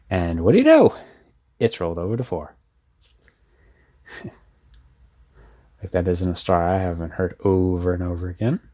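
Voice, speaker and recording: Casting: male actor for a deep-voiced, laid-back young male rebel, self-deprecating and wry, rambling delivery, roleplay audio drama. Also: darkish tone. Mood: wry, relieved. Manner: rambling, wry, sardonic, casual.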